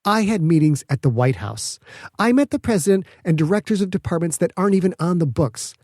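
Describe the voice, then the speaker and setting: An arrogant, snappish woman scientist in an audiobook, acted out by a man. The voice sits fairly high with a soft-edged tone, curt and indignant in delivery. It is recorded close and very dry. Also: expressive, fairly quick, dry recording. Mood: defiant.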